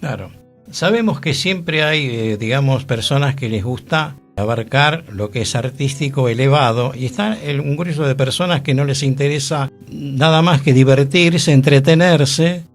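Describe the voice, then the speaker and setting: A man's voice: medium pitch, normal speed, soft background music. A man, explaining matter-of-factly on a radio talk show. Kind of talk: radio conversation. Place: radio studio.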